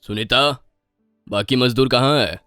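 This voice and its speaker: A man's voice: mid-range voice, a little slow, bright, forceful tone, clean tone, no room sound, studio quality, faint music behind. A man playing a village landowner and farm boss in a cartoon moral story. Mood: casual, commanding.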